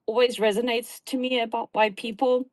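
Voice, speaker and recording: A woman's voice: a little high, fairly even intonation, normal speed, no room sound. A woman with a South African accent in casual chat, earnestly explaining things at length. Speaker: guest.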